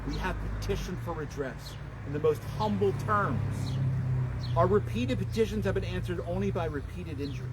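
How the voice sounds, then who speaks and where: A man giving a solemn, dramatic public reading of a historical document, with deliberate, declamatory phrasing. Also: sits high. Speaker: public reader and livestream host. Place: outdoors at a town memorial. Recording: noisy outdoor livestream, traffic and street sounds.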